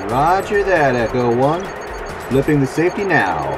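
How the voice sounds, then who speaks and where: An actor playing a focused fighter pilot making combat radio calls in an audio drama. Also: male voice, medium pitch, sing-song, normal speed, music in the background, lightly acted. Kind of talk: military audio drama dialogue.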